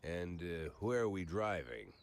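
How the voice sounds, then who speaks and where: An actor playing a weary, hard-drinking older cop, dry and sardonic, in a noir video game. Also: male voice, sits low, big pitch swings, unhurried, exaggerated voice. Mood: curt. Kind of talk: scripted noir narration and dialogue.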